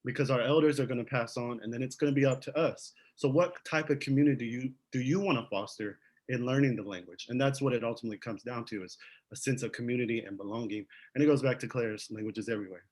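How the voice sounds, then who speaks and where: A man's voice: medium pitch, quick pace, a little mellow. Earnest man panelist tagging "right?". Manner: earnest, frequent "right?" tags. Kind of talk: panel discussion over video call.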